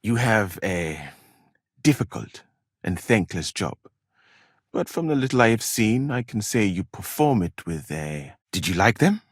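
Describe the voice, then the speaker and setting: An actor playing a courteous, learned physician from Mali in a medieval video game, speaking in formal, measured phrases with gentle gallantry. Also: male voice, lowish voice, sing-song, slightly rough, no room sound, studio quality, full character voice. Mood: gentle, admiring.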